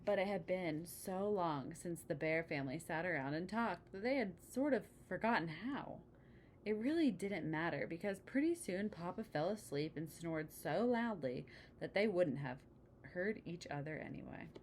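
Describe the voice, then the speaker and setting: A woman reading a children's picture book aloud, pausing for chatty asides and questions to the listener. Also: lowish voice, lively intonation, a little hiss or hum. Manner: engaging, asks the listener questions. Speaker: storybook reader.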